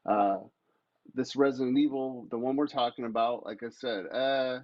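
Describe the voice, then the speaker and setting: Man, blunt casual debater, mid pitch, swears freely, dull muffled recording. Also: fairly even intonation, normal speed, no echo. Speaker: podcast co-host. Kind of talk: casual opinionated podcast debate.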